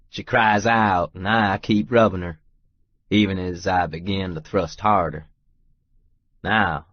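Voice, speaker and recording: Deep-voiced man, slow audiobook narration. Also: dry recording, muffled recording. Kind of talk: first-person romance audiobook narration.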